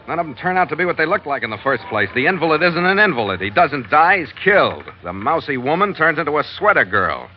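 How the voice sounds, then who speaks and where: An actor playing a tough, skeptical American sea captain in 1940s radio adventure, clipped and dry. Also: male voice, on the high side, sing-song, fast, few pauses, a little hiss or hum. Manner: clipped, dry, hard-boiled. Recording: dull old radio recording, loud music.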